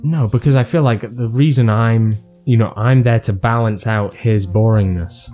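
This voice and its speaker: A young man from Wales, co-hosting a podcast in casual chat, talking in a deadpan, rambling way and jumping between topics. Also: mid-range voice, normal speed, soft background music. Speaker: podcast co-host.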